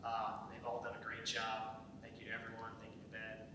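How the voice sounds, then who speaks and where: A man's voice: monotone, a little fast. A young man speaking casually and unscripted as he presents a project to an audience. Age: young adult. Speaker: executive producer presenting a student script. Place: large echoey indoor room, live event. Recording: very echoey hall, distant mic, rumbly noise.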